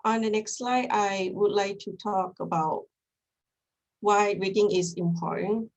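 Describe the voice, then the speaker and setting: A young woman with a Thai accent, Thai being her first language, presents her graduate project at an unhurried, deliberate pace. Her voice sits at a middling pitch, and she speaks earnestly with occasional restarts. She is heard through a computer microphone, as on a video call. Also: a little mellow, poor audio. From Thailand. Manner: measured, earnest, slightly halting delivery. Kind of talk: academic presentation.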